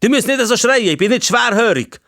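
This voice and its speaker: Guy doing an annoyed swiss german man yelling into his phone in a story, pitched up, exasperated. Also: expressive, a little fast, no room sound, clean studio sound. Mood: irritated. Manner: loud, agitated, exasperated. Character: irritable man shouting into his mobile phone.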